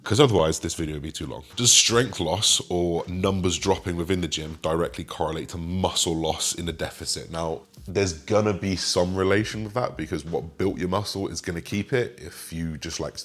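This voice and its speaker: A man in his twenties with a slightly raspy voice, chatting casually as he answers viewer questions and rambles with fillers. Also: low-pitched, moderate pace, hardly any pauses, fairly bright tone. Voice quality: raspy today. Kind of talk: casual Q and A monologue.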